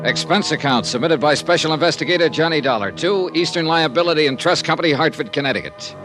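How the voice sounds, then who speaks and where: An American man playing a brisk, wry, hardboiled insurance investigator in a 1950s radio detective drama. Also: fairly high pitch, natural voice. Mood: matter-of-fact, wry. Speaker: lead actor and narrator of a radio detective serial. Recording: vintage radio recording with faint music.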